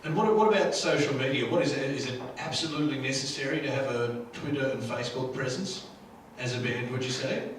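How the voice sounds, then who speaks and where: A man's voice: mid-range voice, fairly quick. A man asking casual questions as moderator of a panel workshop. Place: live workshop room. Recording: very echoey, distant mic, faint room noise.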